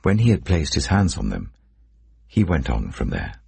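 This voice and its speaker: A man reading scripture as a narrator in a solemn, measured, dramatic storytelling delivery. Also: extremely low pitch, huge pitch swings, creaky, dark tone, breathy edge, no room sound. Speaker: Bible narrator.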